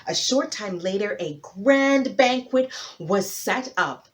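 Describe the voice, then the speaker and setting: A woman narrating a folktale as a storyteller, with theatrical emphasis and dramatic pauses. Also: medium pitch, expressive.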